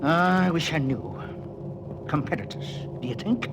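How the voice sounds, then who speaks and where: An actor playing a loyal, cautious middle-aged Scottish tunnel worker in 1940s radio style, guarded and plain-spoken. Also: male voice, on the high side, measured pace, lightly acted. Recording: old radio recording, background noise.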